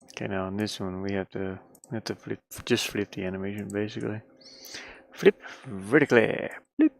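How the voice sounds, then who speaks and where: A tired-sounding man with a gravelly voice, giving casual, rambling commentary on his game development, muttering to himself with playful sing-song asides. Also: fairly low pitch, a little fast. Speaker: indie game developer narrating own work.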